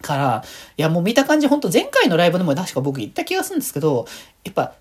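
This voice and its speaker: Husky, lively low-voiced woman chatting. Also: very fast. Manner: excited, rambling, gushing enthusiasm. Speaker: podcast host. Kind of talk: casual solo fan chat.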